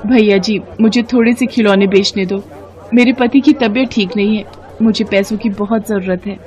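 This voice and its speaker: A woman playing a caring, devout poor village wife who sells toys, with a gentle, pleading manner in a moral-story cartoon. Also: medium pitch, fairly even intonation, moderate pace, some chatter behind, lightly acted.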